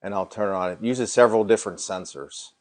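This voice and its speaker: This is a middle-aged American man with a fairly low voice. He hosts a casual livestream, showing off a product as he talks. His manner is folksy, and he tends to circle back and repeat his points. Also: fast. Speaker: host of a paranormal livestream.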